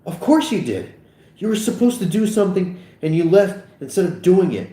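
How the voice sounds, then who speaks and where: An aggrieved teenage boy played by a man reading a script, pitched well up and swinging widely. He sounds whiny, bitter and accusing. The room around the voice is echoey. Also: very animated, moderate pace, warm tone. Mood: hurt, accusing. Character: aggrieved teenage boy, wheezing, bitter at his best friend.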